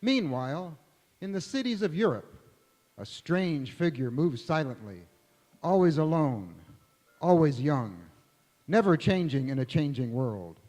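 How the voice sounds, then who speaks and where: A man's voice: a little high, big pitch swings, a little slow, a little mellow. A man playing a theatrical old-time Hollywood radio host narrating a drama. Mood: solemn, dramatic. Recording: echoey hall, long reverb.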